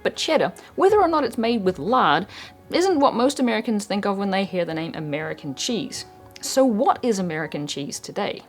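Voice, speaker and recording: A young woman from New Zealand with a New Zealand accent, hosting a history channel in clear, measured scripted narration. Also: medium pitch, sing-song, soft background music.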